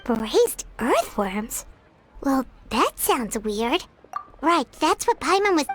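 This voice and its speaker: A woman voicing a tiny, childlike, excitable female fairy companion in a video game. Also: high-pitched, slow pace, exaggerated voice. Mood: surprised, curious. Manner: refers to herself in third person. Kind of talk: video game character acting. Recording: prominent music behind voice.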